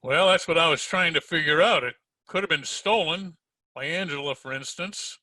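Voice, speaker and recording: An actor playing a tough, hard-boiled private detective in 1940s radio drama style, sardonic and slangy. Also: male voice, on the high side, normal speed, sharp tone, no echo, low-quality recording. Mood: cool, accusing.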